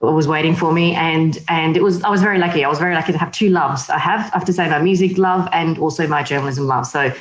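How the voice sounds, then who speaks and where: A woman from Slovakia, a magazine editor, talking as an interview guest in a lively, chatty way with frequent laughs. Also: deep voice, fast talker, few pauses, reverberant. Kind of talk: casual interview chat.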